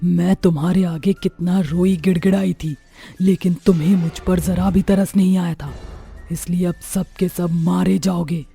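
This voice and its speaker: A woman's voice: lowish voice, steady tone, measured pace, vocal fry, warm tone, breathy edge, soft background music, heavily acted. A woman voicing a vengeful, sorrowful witch ghost in a horror story, with a dramatic, threatening delivery. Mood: vengeful anger. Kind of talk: Hindi horror story voice acting.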